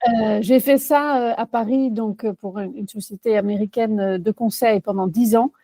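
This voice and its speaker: A woman's voice: a little high, moderate pace, dark tone, dry recording. A woman in her forties from the Netherlands with a Dutch accent, answering interview questions as a career coach, hesitant with many fillers and restarts.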